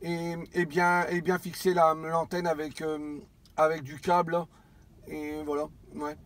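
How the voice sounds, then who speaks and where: A man's voice: a little high, flat delivery, unhurried, a bit bright. A man around forty chatting casually as a CB radio operator, rambling and repeating his phrases. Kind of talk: casual radio contact chat.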